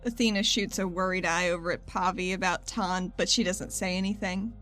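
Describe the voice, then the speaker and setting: A woman's voice: fairly low pitch, fairly even intonation, a little fast, fairly bright tone, soft background music. A woman playing a tabletop roleplaying game, casually describing what her character does and says.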